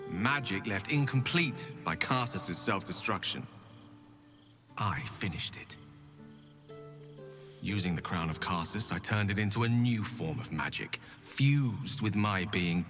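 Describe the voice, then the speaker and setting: Low-voiced, smug wizard god. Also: male voice. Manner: pompous, theatrical, precise. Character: newly ascended wizard god, smug, eloquent, ambitious man. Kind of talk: video game character acting. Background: clear background music.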